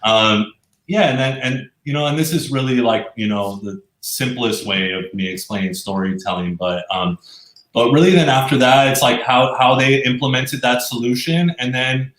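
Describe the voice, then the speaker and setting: A man's voice: mid-range voice, moderate pace, fairly bright tone. A man being interviewed, explaining his work in a casual way, often circling back to rephrase. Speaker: interview guest, explainer video professional. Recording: roomy, echoey sound.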